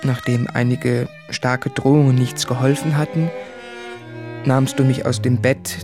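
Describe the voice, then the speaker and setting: An actor playing an anguished, introspective writer in a literary radio drama, confessing in a halting, brooding way. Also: male voice, medium pitch, little pitch movement, music in the background, natural voice. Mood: bitter recollection. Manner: slow, halting, brooding, with deliberate pauses.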